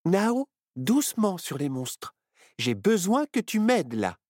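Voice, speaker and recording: A man's voice: sits high, very animated, slow, a little mellow, no room sound, studio quality, pitched above the performer's own voice, exaggerated voice. A man playing a bold, bossy little girl. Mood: commanding. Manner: confident, matter-of-fact. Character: bold, brisk young girl, calm and bossy. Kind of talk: storybook reading.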